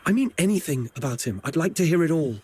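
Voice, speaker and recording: An actor playing a calm, inquisitive young male investigator in a video game, pressing for answers. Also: a little high, quick pace, husky. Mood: cool, pressing. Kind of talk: video game character dialogue.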